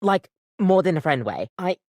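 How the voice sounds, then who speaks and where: A woman voicing a living coffee maker in a video game, an earnest, bubbly, chatty barista with an expressive, rambling way of talking. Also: lowish voice, normal speed, no echo, studio quality. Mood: tender, nervous. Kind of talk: scripted video game voice acting.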